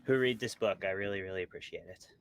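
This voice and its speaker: A man's voice: sits low, quick pace, a little hiss or hum. A man being interviewed as an author, talking in a casual, explanatory and conversational way. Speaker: interviewed author, podcast co-host.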